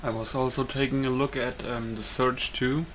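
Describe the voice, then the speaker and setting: A man doing casual video game commentary as a streamer. He talks in an offhand, rambling way at a medium pitch. Clear background noise and game ambience sit behind his voice. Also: little pitch movement, moderate pace. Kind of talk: casual let's-play commentary.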